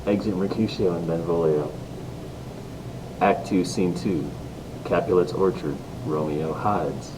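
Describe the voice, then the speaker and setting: A man's voice: measured pace, long pauses. A man reading out stage directions as the narrator of a play, in scripted narration. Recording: distant mic, rumbling background noise.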